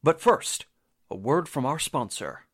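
A man's voice: medium pitch, sing-song, unhurried, husky. A man announcing a scripted old-time radio drama in a dramatic, emphatic manner.

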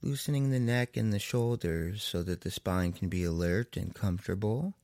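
A man with a deep voice and a gravelly fry leads a guided meditation, very slowly and soothingly. He leaves long pauses between short phrases, and the recording is dry and close. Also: darkish tone, no echo.

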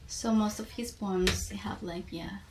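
A woman's voice: medium pitch, noisy background. A woman from Colombia with a Colombian accent, a native Spanish speaker, talking reflectively and searching for words as a guest. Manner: halting, searching for words in a second language. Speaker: podcast guest, poet and teacher. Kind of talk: casual reflective conversation.

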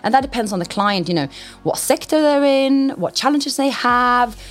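A young woman who heads a client center, talking in an animated, conversational way and laughing easily. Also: fairly high pitch, normal speed. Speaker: head of a client insight center. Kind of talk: recruitment video interview. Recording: soft music bed behind.

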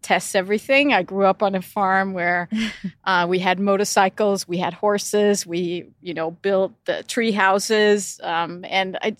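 Brief: middle-aged woman from Denmark, podcast guest, relaxed reflective chat, dry sound. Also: medium pitch, unhurried, no room sound. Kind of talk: casual interview conversation.

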